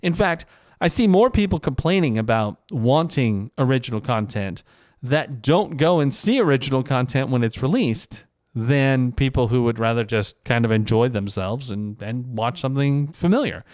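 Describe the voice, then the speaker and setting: A man's voice: medium pitch, expressive, normal speed, a little mellow, no echo, studio quality. A man talking casually and conversationally as a vlogger, giving his opinions in a self-deprecating monologue.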